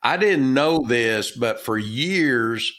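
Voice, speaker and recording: An older man with a Texan accent, an author and former business owner, answering interview questions in a halting, folksy way with many fillers. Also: medium pitch, expressive, a bit bright. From Texas.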